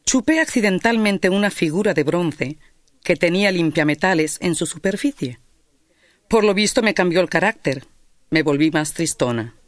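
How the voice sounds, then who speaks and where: A woman's voice: on the low side, animated, moderate pace, no echo, clean studio sound. Matter-of-fact woman. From Spain. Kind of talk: read personal testimony.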